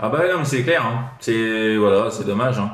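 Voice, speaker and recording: A man's voice: fairly low pitch, expressive, normal speed, roomy sound, heavy background noise. A man chatting casually as a radio operator, hesitant and repetitive, often tagging his phrases with small filler words.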